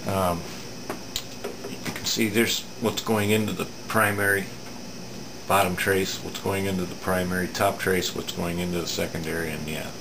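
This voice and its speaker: A middle-aged man giving an unscripted hands-on repair demonstration in a halting, matter-of-fact way, recorded at an indoor workbench. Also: sits low, vocal fry. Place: indoor home electronics workbench. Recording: steady room hum, air-conditioning noise.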